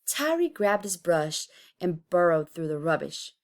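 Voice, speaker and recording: A woman reading a children's picture book aloud expressively, with deliberate, dramatic emphasis. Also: fairly low pitch, sing-song. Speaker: storybook reader.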